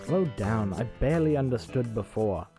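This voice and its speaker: A woman voicing an easygoing, apologetic skeleton with a calming manner in a comic dub. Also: rumbling low, normal speed. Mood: weary, placating. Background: music.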